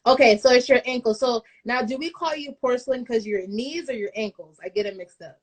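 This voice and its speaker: A woman's voice: on the high side, a little fast. Talk show host, woman, upbeat and chatty, joking with her guest. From United States. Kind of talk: casual live-stream talk show.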